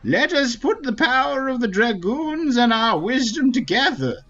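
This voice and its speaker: A man's voice: very high-pitched, very animated, fairly bright tone, highs cut off, exaggerated voice. A man voicing an ancient, solemn Wingly elder, wise and formal, in a dramatic read-aloud of a fantasy video game. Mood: grave. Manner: slow, grave, formal delivery. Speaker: game streamer. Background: faint game music.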